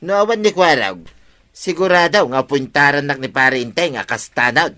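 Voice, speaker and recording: A man's voice: a little high, animated, normal speed, sharp tone. An actor with an Ilocano accent playing a cheeky, wheedling, argumentative village man in a radio comedy drama. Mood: scheming.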